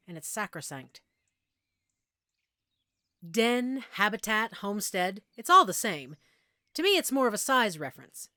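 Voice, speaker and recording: A woman playing a middle-aged, self-assured werewolf pack alpha in an intimate audio roleplay, explaining things conversationally with measured pauses. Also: mid-range voice, a bit bright, no room sound, studio quality.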